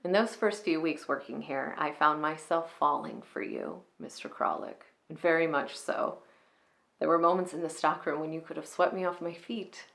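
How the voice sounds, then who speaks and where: A woman's voice: low-pitched, fairly bright tone. A woman playing an earnest, flustered young shopgirl in a romantic comedy film monologue, hesitant, confiding and wistful.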